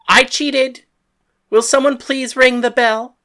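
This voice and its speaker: A woman's voice: fairly low pitch, animated, a little slow, sharp tone. A woman voicing a bold, cocky woman fighter who taunts and threatens with dry wit. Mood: mocking. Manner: mocking, coolly threatening.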